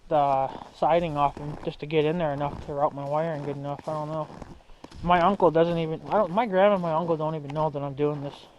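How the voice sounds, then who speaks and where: A man's voice: fairly high pitch, steady tone, fast, darkish tone. A young man chatting casually to viewers as a vlog host, rambling and slangy as he thinks aloud. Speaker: hobbyist video host. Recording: muffled, noisy amateur recording.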